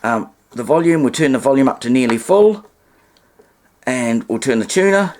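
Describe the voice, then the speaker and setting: A man's voice: mid-range voice, animated, normal speed, darkish tone, a little hiss or hum. A man presenting a casual hands-on demonstration, explaining things in a rambling way with asides and alternative terms. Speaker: presenter demonstrating vintage radio testing.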